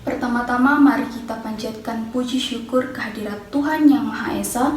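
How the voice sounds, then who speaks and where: A young woman, a university student delivering a formal prepared speech in a measured, declamatory manner. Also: fairly high pitch, mellow. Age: young adult. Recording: echoey room, distant mic, faint noise.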